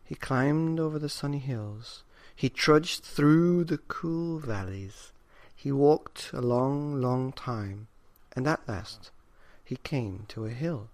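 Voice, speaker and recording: A man's voice: medium pitch, takes it slowly, darkish tone, no echo. A man narrating a children's bedtime story expressively, with dramatic, rhythmic emphasis.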